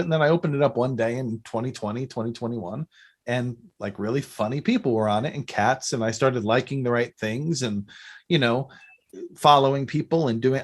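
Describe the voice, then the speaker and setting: A middle-aged man chatting casually as a podcast guest, telling rambling, animated stories. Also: medium pitch. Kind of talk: casual interview chat.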